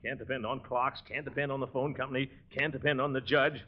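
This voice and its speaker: An actor playing a pompous, easily exasperated middle-aged uncle in a 1940s radio sitcom, flustered and grumbling theatrically. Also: male voice, medium pitch, fairly even intonation, fairly quick, lightly acted. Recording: dull band-limited 1940s radio recording.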